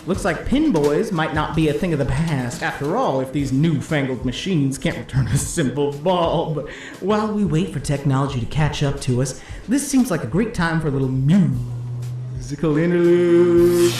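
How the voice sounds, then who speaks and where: A showy bowling announcer from a 1950s radio play, acted by a man, pitched fairly high. He calls the game in folksy, theatrical showman patter, with echo around him and music clearly underneath. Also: expressive, moderate pace, darkish tone, close to an everyday voice. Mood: folksy, wry. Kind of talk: 1950s radio drama acting, sports commentary.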